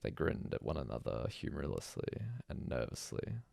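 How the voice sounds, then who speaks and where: A man's voice: very deep voice, big pitch swings, normal speed, vocal fry, a little mellow, no room sound, clean studio sound. A man reading a scripted bedtime story aloud as a narrator.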